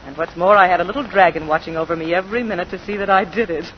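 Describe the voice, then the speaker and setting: A woman's voice: lowish voice, rapid-fire, close to an everyday voice. An actress playing a worldly, teasing woman pianist in a 1940s radio drama, breezy and arch with mocking edges. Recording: muffled, noisy 1940s radio broadcast.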